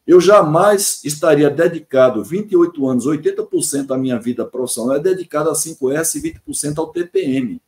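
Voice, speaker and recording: A man's voice: fairly high pitch, very animated, brisk, few pauses, a little mellow. A man in his sixties with a Brazilian accent, explaining his work as a consultant in an earnest way, stressing points through repetition and lists. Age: 60s (62). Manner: earnest, emphatic repetition and listing. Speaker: podcast guest, engineering consultant. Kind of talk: explanatory interview answer, semi-formal.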